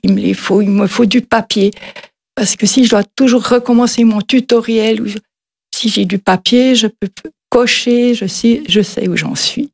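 An older woman speaks into a studio microphone as a radio guest. Her voice is gravelly and somewhat husky, and she answers in a halting, hesitant way with short agreeing replies. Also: on the high side, steady tone. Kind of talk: casual interview answers.

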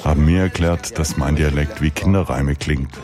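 Very deep male voice-over translator, calm read, music bed behind. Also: moderate pace. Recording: voice-over with clear music underneath.

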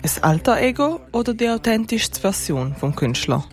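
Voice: medium pitch, sing-song, moderate pace. A narrator reading scripted lines for a short culture feature. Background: faint music.

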